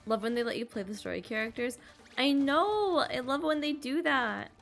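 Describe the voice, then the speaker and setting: A woman's voice: high-pitched, animated, normal speed, vocal fry, fairly bright tone. A woman giving upbeat, chatty, casual commentary as she streams a game. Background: faint game music.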